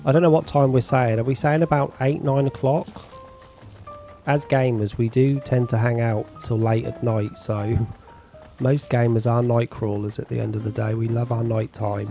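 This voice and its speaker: A man's voice: medium pitch, moderate pace, faint music behind. A man streaming a farming game, chatting casually with his viewers and reading their messages aloud. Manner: relaxed, chatty, reads chat aloud. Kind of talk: casual chat with viewers while gaming.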